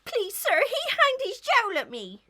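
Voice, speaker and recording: A woman plays a scrappy little Victorian schoolboy with a high, bright voice. The urchin protests cheekily in rough country grammar, pitch jumping about, in audio melodrama style. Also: wildly swooping pitch, a little slow, heavily acted. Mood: pleading.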